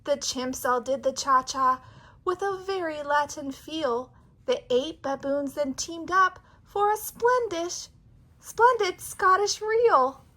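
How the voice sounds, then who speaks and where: A woman's voice: high voice, takes it slowly, pushed and bright. A woman reading a rhyming picture book aloud as a storytime host, theatrically and with chatty asides to young listeners.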